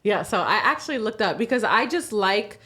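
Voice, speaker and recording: Animated woman in her thirties. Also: medium pitch. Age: 30s. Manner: animated, quick to laugh. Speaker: podcast co-host. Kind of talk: casual chat.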